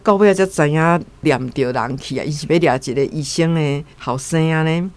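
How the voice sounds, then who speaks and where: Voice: medium pitch, normal speed. A speaker with a Taiwanese Hokkien accent informally retelling and commenting on a story as a guest.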